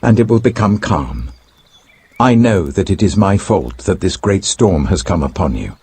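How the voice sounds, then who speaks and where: British man as grave repentant prophet, dark mellow low voice, solemn declamation, devout, audiobook scripture drama. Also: very animated, normal speed, husky, exaggerated voice. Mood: grave, resigned.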